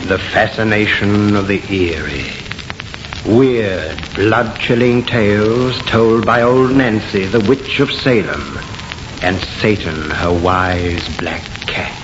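An actor playing an ominous announcer of eerie tales, slow and dramatic in 1930s radio horror style. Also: male voice, fairly low pitch, noisy background. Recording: muffled echoey old radio, music.

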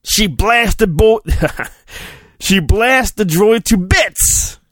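Playful man with a lively, higher-pitched voice. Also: extremely slow, no room sound, studio quality. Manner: playful, mock-earnest, acts out sound effects. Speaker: podcast host reading a children's storybook. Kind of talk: comic dramatic storybook reading with chatty asides.

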